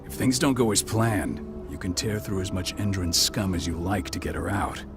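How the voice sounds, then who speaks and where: An actor playing a stern, resolute pack leader in a video game cutscene, speaking in terse commands. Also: male voice, low voice, normal speed, vocal fry. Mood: firm, commanding. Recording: loud music behind the voice.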